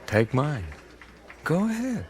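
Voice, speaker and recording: An actor playing a suave, roguish gambler and cheat in a radio drama, with an insinuating, knowing delivery. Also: male voice, a little high, sing-song, slow, a little hiss or hum. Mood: coaxing, sly. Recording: music playing behind the voice.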